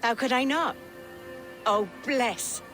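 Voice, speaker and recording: A woman's voice: on the high side, slow pace, a bit bright, faint music behind. A woman playing a defiant, plain-spoken, middle-aged countrywoman in a period game drama, with earthy archaic phrasing. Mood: wistful, moved. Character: defiant, plain-spoken poor countrywoman, protective older sister.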